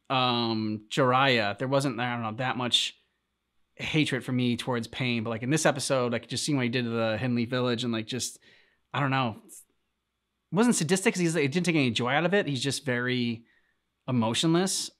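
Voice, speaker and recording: Man, reaction channel co-host, casual chat, medium pitch, halting phrasing, thinking aloud. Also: a bit bright. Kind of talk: casual discussion of a video game story.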